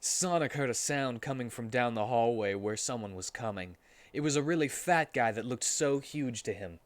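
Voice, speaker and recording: A man's voice: medium pitch, normal speed, no echo. A man narrating a story aloud in a mock-serious, theatrical style, playing absurd lines straight. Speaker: streamer reading a story aloud.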